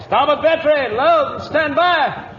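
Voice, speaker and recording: A bellowing ship's crewman, calling orders at a very high pitch. It is old-time radio acting, echoing and muffled. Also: a little slow, noisy background. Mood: urgent, commanding.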